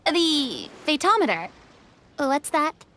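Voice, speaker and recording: A gentle young woman in a video game. Her voice is very high and moves a lot in pitch. She speaks slowly and politely, with curious hesitation. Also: sing-song, a little hiss or hum, exaggerated voice. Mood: delighted. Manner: hesitant, soft-mannered, polite. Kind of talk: video game character acting.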